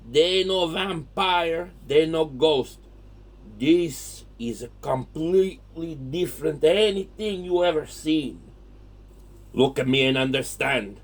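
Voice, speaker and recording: A man plays an exasperated old Italian grandfather in a comedy horror audio drama. He lectures and scolds in heavily accented broken English, slipping into Italian, with a fairly high-pitched voice. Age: older. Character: hot-tempered old Italian grandfather, exasperated monster-lore teacher.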